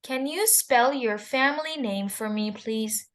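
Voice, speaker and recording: Woman, exam interlocutor, high pitch, unhurried questions. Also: fairly bright tone. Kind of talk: mock speaking test.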